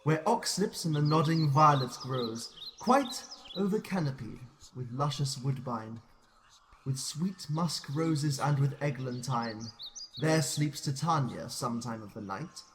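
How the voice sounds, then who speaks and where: A man playing a commanding, scheming fairy king, speaking classical verse in a deliberate, incantatory, theatrical way. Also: fairly high pitch, slight background noise, close to an everyday voice. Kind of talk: classical Shakespearean verse acting.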